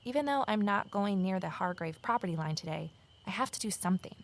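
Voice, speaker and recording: A woman's voice: mid-range voice, expressive, quick pace, natural voice. A woman playing an earnest, observant office assistant turned amateur sleuth, narrating and speaking in an audio drama. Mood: determined, reflective. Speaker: narrator and lead character. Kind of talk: first-person audio drama narration and dialogue.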